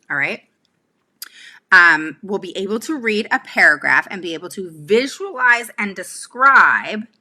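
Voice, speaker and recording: A woman's voice: lowish voice, sharp tone. A woman in her forties giving a casual, chatty livestream lesson, speaking emphatically and often checking in with the listener. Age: 40s. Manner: emphatic, chatty, checks in with "all right?" and "okay?". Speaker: host, special education advocate giving advice. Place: small room at home, indoors.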